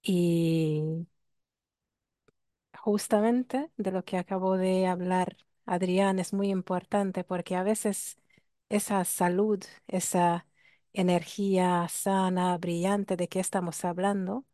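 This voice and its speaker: A woman with a Hungarian accent speaks in a fairly low, warm, mellow voice. She explains things reflectively and poses rhetorical questions to her listeners. The sound is dry and close, with almost no room echo. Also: steady tone, takes it slowly. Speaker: workshop speaker. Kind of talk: talk on ancestral healing.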